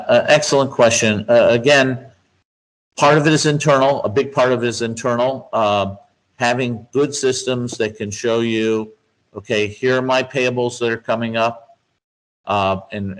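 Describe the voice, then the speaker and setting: A man giving measured, explanatory answers as a guest expert in a business interview, laying out points step by step with emphasis. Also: mid-range voice, steady tone, low-quality recording. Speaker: guest speaker, finance expert.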